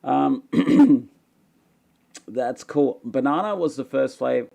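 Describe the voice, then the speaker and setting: Casting: young Aussie bloke, raspy tired voice with gravel, dark tone, self-mocking live-stream host. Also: male voice, mid-range voice, expressive, slow pace. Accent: Australian. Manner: self-deprecating, joking, rambling with many fillers. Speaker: livestream host answering chat questions. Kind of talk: casual live Q&A chat.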